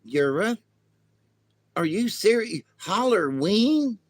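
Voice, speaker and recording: A man voicing a short, bumbling male alien who talks in a high, excitable, folksy way. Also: slow pace, no room sound, exaggerated voice. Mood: baffled.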